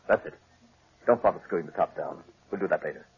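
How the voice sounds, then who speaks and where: A crackly, noisy old radio recording holds a cold undertaker villain. His lowish voice is dry and menacing, with wide swings in its smooth, humorless delivery. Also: lively intonation, fast talker, narrow, tinny audio. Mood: commanding. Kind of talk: 1940s radio drama acting.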